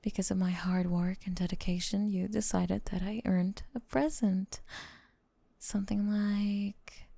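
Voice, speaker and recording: A woman's voice: mid-range voice, fairly even intonation, unhurried, gravelly, dark tone, no room sound. A woman voicing a doting, possessive snake-girl girlfriend with sweet menace, in an intimate, coaxing ASMR roleplay that often drops to a whisper. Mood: doting, controlling.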